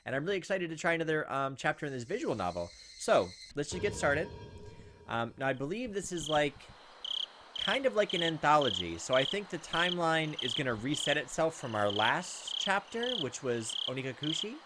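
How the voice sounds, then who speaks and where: A man's voice: on the high side, very animated, fairly quick, heavy background noise. A man hosting a let's-play, chatting casually and thinking aloud with frequent ums and likes. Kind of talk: casual gameplay commentary.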